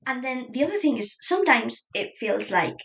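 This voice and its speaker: A woman whose first language is Spanish chats casually while flipping through her journals at home. Her voice sits a little high, with some gravel and vocal fry. She rambles and often restarts her sentences, and her phrases tend to trail off softly. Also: sing-song, moderate pace. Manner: chatty, rambling, many fillers and self-corrections; laughs lightly, trails off softly. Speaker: journaling vlogger. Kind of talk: casual flip-through chat.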